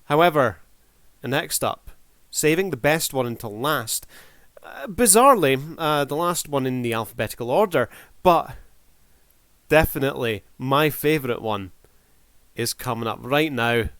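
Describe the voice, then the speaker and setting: A man's voice: mid-range voice, animated, unhurried, fairly bright tone, dry recording. A man hosting a video review, talking casually off the cuff in a laid-back, wry way. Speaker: video reviewer and channel host.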